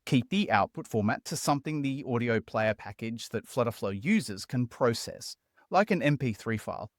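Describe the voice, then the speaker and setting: A man narrates a building tutorial in an upbeat, chatty voice-over. His pitch moves around a lot. The sound is dry and close, with no room around it. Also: expressive, normal speed, no room sound. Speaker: tutorial host.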